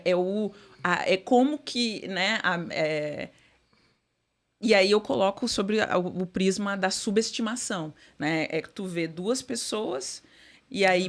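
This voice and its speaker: A middle-aged woman, a guest speaking thoughtfully in an interview, often pausing and restarting with "né". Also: medium pitch. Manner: thoughtful, many fillers and restarts, says "né". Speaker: guest executive. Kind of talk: conversational interview.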